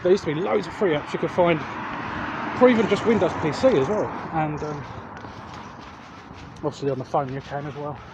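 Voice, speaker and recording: Man, slightly high voice, casual vlog chat, halting and self-correcting, recorded walking outdoors, clear traffic noise. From England. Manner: hesitant, self-correcting, repeats words. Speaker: vlog host. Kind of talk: casual rambling chat to viewers. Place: outdoors, walking along urban streets.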